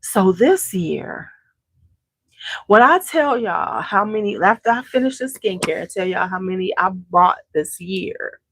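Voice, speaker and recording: A woman's voice: mid-range voice, expressive, measured pace, fairly bright tone. A congested woman hosting a beauty channel, chatting casually with viewers at home and greeting them by name. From Chicago area. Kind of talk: casual chat to a live audience.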